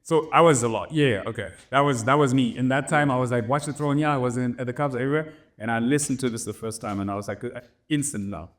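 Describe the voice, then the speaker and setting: A man with a faint German accent talks about records as a guest in a relaxed interview. He rambles, restarts his sentences and keeps checking in with the listener. His voice sits at an ordinary middle pitch. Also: fast talker, hardly any pauses. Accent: slight German. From Germany and Namibia. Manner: rambling, many restarts and "right?" tags. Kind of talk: casual interview storytelling.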